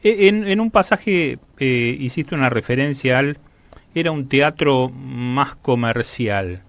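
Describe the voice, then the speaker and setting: A man's voice: medium pitch, lively intonation, slow, creaky. A man with a Rioplatense Argentine accent hosting a casual radio interview in an informal, affirming way. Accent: Rioplatense Argentine Spanish.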